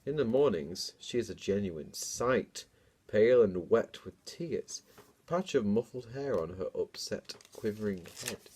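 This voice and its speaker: A man's voice: fairly low pitch, lively intonation, a little slow, a little mellow, breathy edge. A young man doing a dramatic reading of a story, breaking into casual, self-mocking asides. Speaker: comedian reading own childhood book.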